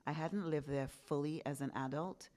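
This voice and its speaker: A woman's voice: deep voice, moderate pace, warm tone. A woman in her fifties from England, a podcast host telling her own story in a candid, reflective conversation. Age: 50s. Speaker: podcast host being interviewed.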